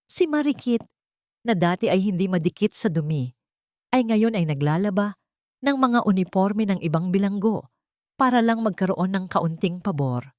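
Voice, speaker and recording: Female narrator, low register, dry close sound, steady read for an audio drama story. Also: no echo.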